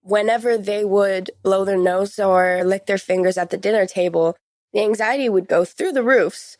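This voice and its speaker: A woman's voice: medium pitch, moderate pace, a little mellow, no echo, studio quality. A woman in her late teens giving a conversational personal account as a podcast guest.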